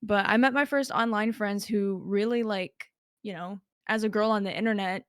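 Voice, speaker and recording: A woman's voice: mid-range voice, steady tone, moderate pace, fairly bright tone, smooth, no echo, studio quality. A young woman as a podcast guest, talking in a chatty way with lots of filler words. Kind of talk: casual podcast conversation.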